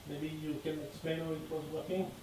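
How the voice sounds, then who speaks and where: A man with a French accent answers questions after a screening, pausing and searching for his English words. His voice sits somewhat high for a man. It is picked up from a distance in a strongly reverberant cinema hall with faint noise. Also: completely flat delivery, warm tone. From France. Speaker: film director at a Q&A. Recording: echoey cinema hall from far off.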